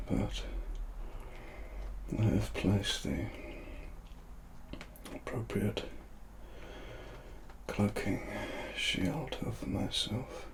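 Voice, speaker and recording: A British man performing a softly spoken ASMR roleplay in a breathy, mostly whispered voice, speaking deliberately with pauses mid-sentence. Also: lowish voice, slight background noise.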